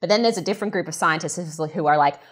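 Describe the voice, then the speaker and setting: A woman astronomer chatting casually as a guest, animated and jokey, telling things in an informal way. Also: fairly low pitch, quick pace. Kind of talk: casual science chat.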